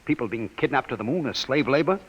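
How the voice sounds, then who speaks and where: A man's voice: mid-range voice, expressive, fast, breathy edge. An American actor playing an urgent, commanding man in a 1950s radio drama, giving clipped orders and reassurance. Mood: tense, persuasive. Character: urgent, commanding man secretly leading a fugitive into hiding. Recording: noisy, narrow old 1950s radio recording.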